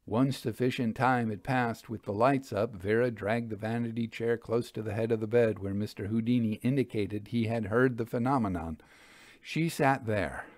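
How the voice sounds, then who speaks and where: A man narrating a first-person story in a measured, formal literary manner. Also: lowish voice, a little mellow, dry recording. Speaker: storyteller reading fiction aloud.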